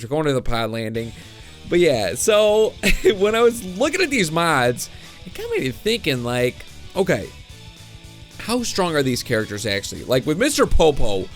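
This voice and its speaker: A young man hosting a gameplay video, musing uncertainly and chuckling often. He is getting over a cold, so his voice sounds a bit off. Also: on the high side. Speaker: gaming video host. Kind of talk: casual gameplay commentary. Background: game music.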